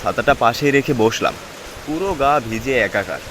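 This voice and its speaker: Cartoon horror story lead: a man as an unafraid village vet narrating his own tale, medium pitch, heavy rain behind. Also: animated, moderate pace, natural voice. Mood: uneasy, weary. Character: village cattle doctor telling his eerie tale, unafraid. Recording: constant rain and wind noise behind.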